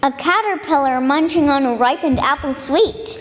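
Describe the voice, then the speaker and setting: A woman's voice: high voice, normal speed. A woman reciting a children's rhyme live as a poetry reader. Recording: hall reverb, faint noise.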